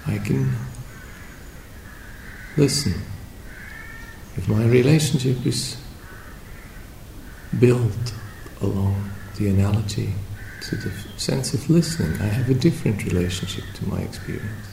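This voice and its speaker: A man leading a guided meditation as a teacher, speaking reflectively in short phrases with long pauses between them. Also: lowish voice, expressive, creaky, dark tone. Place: indoor room at a meditation retreat. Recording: roomy echo with faint background noise.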